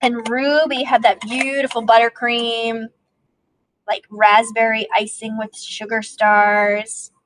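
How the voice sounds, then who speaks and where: A woman's voice: on the high side, flat delivery, takes it slowly, a bit bright, a little hiss or hum, low-quality recording. A woman, an elementary teacher leading a read-aloud lesson for young children, asking questions and prompting as she goes.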